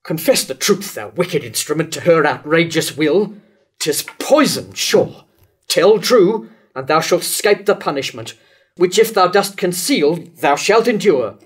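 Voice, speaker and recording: Older man playing a jealous, tormented king, slow-spoken. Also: fairly high pitch, expressive, slightly rough. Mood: accusing, shocked. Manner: declamatory, deliberate, dramatic pauses. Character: jealous, tormented king Herod, wrathful and lovesick. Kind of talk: staged reading of Jacobean verse tragedy. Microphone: microphone on stand at lectern.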